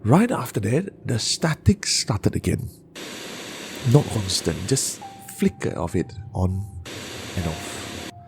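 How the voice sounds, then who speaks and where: Male horror storyteller, slow and deliberate, gravelly creak, dramatic pauses, music underneath. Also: fairly low pitch, wildly swooping pitch. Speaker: host and narrator of horror stories.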